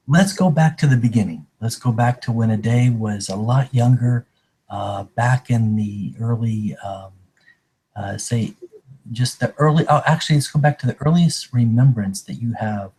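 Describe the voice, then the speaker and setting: A man's voice: medium pitch, moderate pace, dark tone, breathy edge, rough recording. A man hosting a casual interview, introducing his guest in a hesitant way with many fillers. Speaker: host.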